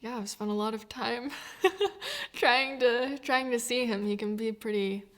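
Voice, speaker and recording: A woman's voice: a little high, steady tone, measured pace, fairly bright tone. A woman in her twenties, a violinist raised in the United States, speaking reflectively in a documentary interview and laughing often. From New Zealand, raised in the United States. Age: 20s.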